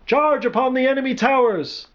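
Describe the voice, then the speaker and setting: A man's voice: on the high side, lively intonation, normal speed, dull audio, lightly acted. A man voicing a brash, determined rock roadie turned battlefield general, speaking emphatically in a storybook reading. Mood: shouting, frustrated.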